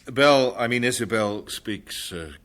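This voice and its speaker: A steady young missionary, played by a man, reassures his fiancée and his superior in a calm, warm-hearted way. His voice is mid-range and unforced, in a radio drama. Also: mid-range voice, unhurried, natural voice. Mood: calm, persuasive. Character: young male missionary husband, steady and kind.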